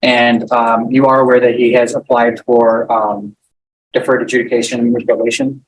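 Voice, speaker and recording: A man's voice: mid-range voice, level tone, normal speed, slight background noise, poor audio. A man questioning a witness in court as prosecutor, hesitant with many fillers.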